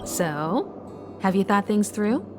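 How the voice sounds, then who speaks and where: A woman playing a composed, encouraging mentor in a video game, speaking in a gentle, reflective and reassuring way. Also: medium pitch, expressive, slow. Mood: gently encouraging. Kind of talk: dramatic video game dialogue. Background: background music.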